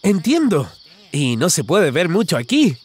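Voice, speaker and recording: A man's voice: a little high, huge pitch swings, unhurried, slight background noise. A man narrating a children's cartoon in an exaggerated, singsong way, talking to the characters.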